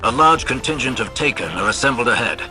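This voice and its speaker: A man's voice: mid-range voice, animated, fast talker, natural voice. An actor playing a courteous, composed older marksman in a video game, with a British accent and a polite, understated, drily wry manner. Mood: composed, alert. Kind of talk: video game mission dialogue. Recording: music behind the voice.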